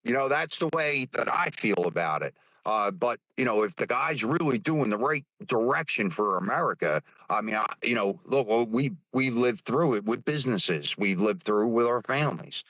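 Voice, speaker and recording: A man in his fifties giving his views on a live stream, halting and restarting with many fillers. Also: medium pitch, animated, a bit bright, no room sound, poor audio. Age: 50s. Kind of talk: casual opinion talk.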